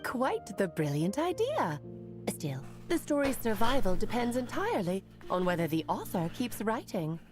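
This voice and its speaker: Very animated, theatrical schemer. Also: female voice, medium pitch, moderate pace, over music. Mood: intrigued.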